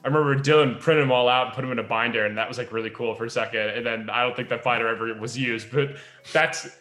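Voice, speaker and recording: A young adult man, a podcast host and writer, chatting casually in an animated, rambling, self-deprecating way. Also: medium pitch, fast, reverberant. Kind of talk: casual chat.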